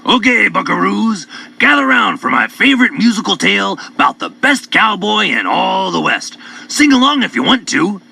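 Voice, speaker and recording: A man narrating children's stories in a playful, theatrical, drawn-out way. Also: high-pitched, big pitch swings, creaky, bright, forceful tone, husky. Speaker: storyteller. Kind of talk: animated children's story narration. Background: music.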